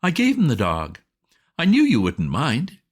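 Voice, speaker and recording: A man's voice: medium pitch, huge pitch swings, a little slow, slightly rough, pitched above the performer's own voice, full character voice. A man voicing a breezy young woman who gushes and coaxes in an airy way in a storybook reading. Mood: wheedling. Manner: gushing, coaxing, airy.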